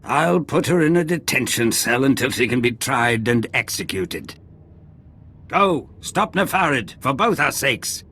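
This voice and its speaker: Forceful, stern, urgent ally. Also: male voice, medium pitch, animated, measured pace, slight background noise. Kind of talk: video game cutscene acting.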